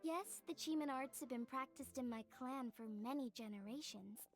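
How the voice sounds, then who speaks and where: High-voiced, forthcoming young artisan. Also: female voice, normal speed, soft background music. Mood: matter-of-fact. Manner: expressive, explanatory. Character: friendly, forthcoming young woman, street-smart artisan from a fortune-telling family. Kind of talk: video game dialogue acting.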